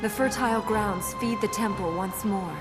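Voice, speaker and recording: Woman as a resolute young princess, loud music behind. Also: medium pitch, normal speed, lightly acted. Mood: solemn, determined. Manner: earnest, terse, dryly reproving. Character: serious, resolute young princess of magical lineage. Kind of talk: video game cutscene voice acting. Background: loud game score.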